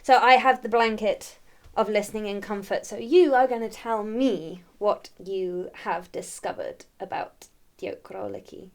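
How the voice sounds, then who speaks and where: Woman co-host, mid pitch, cheerful and giggly, casual chat. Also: measured pace. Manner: cheerful, giggly, bright conversational delivery. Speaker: co-host, musician.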